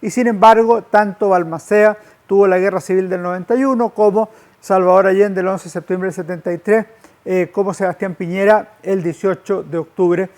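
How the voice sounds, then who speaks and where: A man delivers a public lecture. His manner is didactic and measured. He uses formal phrasing as he explains things to his listeners. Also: sits high. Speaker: lecturer, historian. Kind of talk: public lecture on history, with slides.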